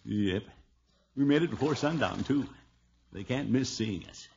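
A man's voice: mid-range voice, lively intonation, a little slow, vocal fry, a little mellow. A muffled, noisy vintage radio recording of a pompous middle-aged schemer. He blusters and wheedles theatrically, his voice swooping up and down in old sitcom fashion. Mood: scheming. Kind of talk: 1950s radio situation comedy acting.

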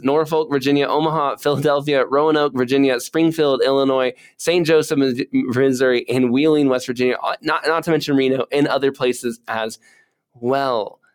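A man's voice: medium pitch, clear, resonant tone. A man co-hosting a podcast, telling history casually and acting out imagined dialogue in everyday words. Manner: informal, colloquial, acts out imagined dialogue. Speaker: podcast co-host.

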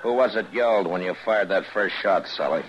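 An actor playing a stern, watchful U.S. marshal in a radio western, terse and authoritative. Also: male voice, sits low, a little fast, lightly acted. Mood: dry, probing. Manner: terse, authoritative, dry questioning. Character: stern, watchful U.S. frontier marshal, lonely lawman. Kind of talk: 1950s radio western acting. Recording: noisy muffled old radio recording.

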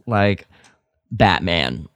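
A man's voice: sits low, expressive, drawn-out words, a bit bright, dry recording. A man co-hosting a podcast in casual chat, speaking emphatically and with enthusiasm. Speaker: podcast co-host.

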